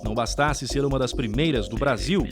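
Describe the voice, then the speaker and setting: A man's voice: mid-range voice, brisk, music in the background. A man with a Brazilian accent reading scripted documentary narration.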